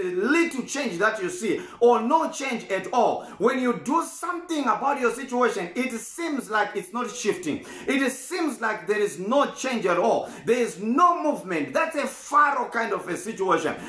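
A man preaching a fervent sermon, repeating his points and shouting key declarations. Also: high voice. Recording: echoey room.

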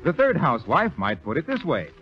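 Male vintage radio announcer, animated scripted delivery, muffled broadcast recording. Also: medium pitch, fairly quick, faint music behind.

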